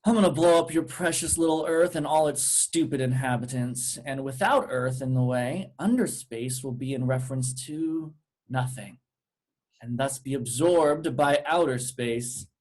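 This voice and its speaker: A man's voice: medium pitch, unhurried, a little mellow, lightly acted. Man playing a smug, sarcastic evil alien twin. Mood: gloating. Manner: sarcastic, flippant. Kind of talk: table read of a comedy script.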